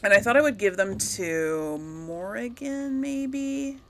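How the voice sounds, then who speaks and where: Gamer woman, really bright voice, mid pitch, thinking out loud n rambling to herself while she plays. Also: lively intonation, slow pace. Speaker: gaming video host. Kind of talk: casual game commentary, thinking aloud.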